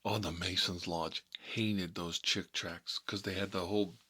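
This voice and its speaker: A man's voice: sits low, fairly even intonation, unhurried, bright, forceful tone, breathy edge, exaggerated voice. A man playing a nostalgic, folksy mob boss, telling rambling stories in a casual ASMR style. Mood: reminiscing. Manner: rambling, folksy, frequent "you know". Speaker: host of a character story series.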